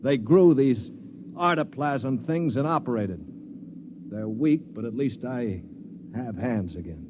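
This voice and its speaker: A man's voice: mid-range voice, animated, unhurried, natural voice. An actor as principled, weary man, noisy old radio. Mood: weary, grave. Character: principled, weary injured man, defender of alien enemies. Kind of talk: 1950s American radio drama acting. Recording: muffled, noisy 1950s radio recording.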